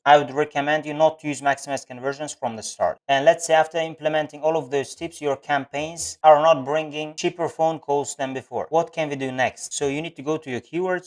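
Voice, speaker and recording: A man giving instructional narration for a screen-share tutorial, explaining things step by step as an online tutor. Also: a little high.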